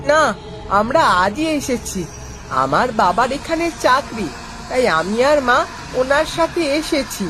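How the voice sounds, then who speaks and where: A woman's voice: high-pitched, lively intonation, unhurried, background noise. A woman voicing an innocent, curious little boy in a children's cartoon, eager and wide-eyed. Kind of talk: children's animated cartoon dialogue.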